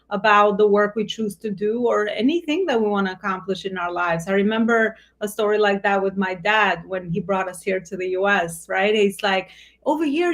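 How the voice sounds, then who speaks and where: A woman in a mid-range pitch hosts the interview. She talks through her thoughts in a relaxed, rambling way and leans on easy fillers. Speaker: host. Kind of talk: casual interview chat.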